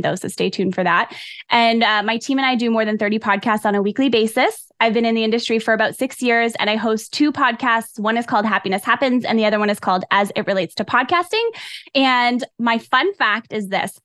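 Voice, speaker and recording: Woman, somewhat high voice, playful teasing panel guest, dry mic. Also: no echo. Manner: playful, teasing, deadpan jokes. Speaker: podcast production agency owner and host. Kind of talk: casual panel introduction.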